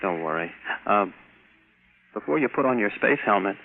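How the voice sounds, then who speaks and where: Low-voiced space captain, vintage radio. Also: male voice, expressive, moderate pace, creaky, narrow, tinny audio. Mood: brisk, steady. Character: plucky male space captain narrating his adventure, wry and easygoing. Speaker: actor playing the lead and narrator. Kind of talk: 1950s radio acting. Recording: band-limited vintage radio recording with music.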